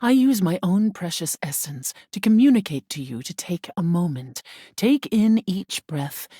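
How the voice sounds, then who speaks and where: An actress voicing a video game goddess of air, haughty and stern, with solemn formal phrasing and a breathy voice that often sinks into whisper. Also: female voice, on the low side, lively intonation, measured pace, dark tone, no room sound, clean studio sound. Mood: weary, offended. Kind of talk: scripted video game character acting.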